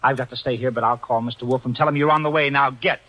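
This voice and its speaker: A man playing a wisecracking young detective's assistant in a 1940s radio drama, brisk and fast-talking. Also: mid-range voice, steady tone, loud music behind the voice, natural voice, low-quality recording.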